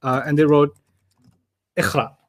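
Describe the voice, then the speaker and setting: A man's voice: on the high side, steady tone, unhurried. A man, a native German speaker, teaching Arabic in a casual, chatty way and telling stories with laughter. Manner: chatty, storytelling, laughs often. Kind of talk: casual teaching chat with anecdotes.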